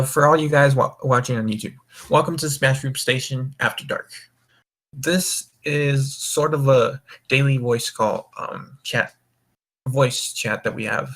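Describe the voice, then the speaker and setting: A man's voice: medium pitch, a bit bright. A man hosting a casual voice-chat podcast, rambling with frequent restarts and stammered repeats, speaking into a home mic. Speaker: voice call host.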